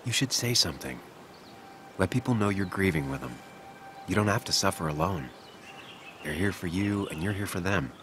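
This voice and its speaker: An actor playing a calm, earnest, grieving man in a video game, speaking in a measured, solemn way. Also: sits low, background noise. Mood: gentle, coaxing. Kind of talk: dramatic video game acting.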